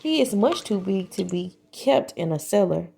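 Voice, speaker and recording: A woman voicing a brisk, helpful male policeman in a children's story, matter-of-fact and exclamatory. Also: medium pitch, very animated, creaky, dark tone, slight background noise. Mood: amazed, urgent.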